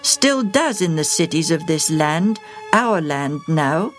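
A woman voicing a sensible young boy who speaks in a calm, firm way. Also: low-pitched, slow, soft background music.

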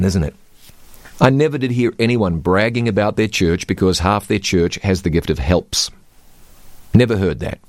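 A man's voice: on the low side, dry recording, clean studio sound. A man teaching the Bible, reading scripture aloud and quizzing his co-host.

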